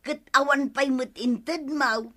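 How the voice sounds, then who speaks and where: A woman playing a bright, flustered village woman with an Ilocano accent. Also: sits high, takes it slowly. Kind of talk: Ilocano radio comedy drama acting.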